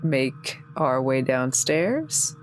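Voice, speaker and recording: A woman's voice: very deep voice, sing-song, extremely slow, soft background music. A woman chatting casually and playfully as a player in a tabletop roleplaying game.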